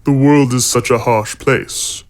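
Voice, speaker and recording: Man playing a slow-spoken, condescending warrior-monk villain. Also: medium pitch, fairly even intonation, close to an everyday voice. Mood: smooth, persuasive. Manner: measured, condescending, preaching. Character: middle-aged male warrior-monk villain, calm and persuasive. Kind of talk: fantasy audio drama acting.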